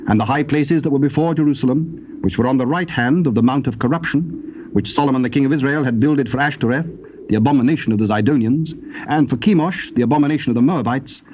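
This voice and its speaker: A man narrating scripture from a script in a measured, formal manner. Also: mid-range voice. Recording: dull cassette transfer with faint noise.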